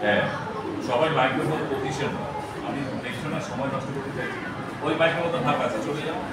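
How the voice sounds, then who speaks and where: A man with a West Bengal Bengali accent leads a hands-on class, giving short repeated instructions. His mid-pitched voice rings through a very echoey hall, heard at a distance over clear background noise. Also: quick pace. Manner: directive, repetitive. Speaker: recitation workshop trainer. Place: workshop hall with microphone.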